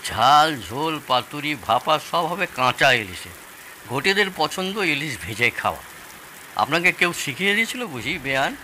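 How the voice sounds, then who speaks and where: A man's voice: a little high, sing-song, moderate pace, sharp tone, a little hiss or hum, heavily acted, rough recording. A man playing a genial, nostalgic older father-in-law who loves telling stories in an audio drama. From Bangladesh. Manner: expansive, nostalgic storytelling. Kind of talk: Bengali audio drama acting.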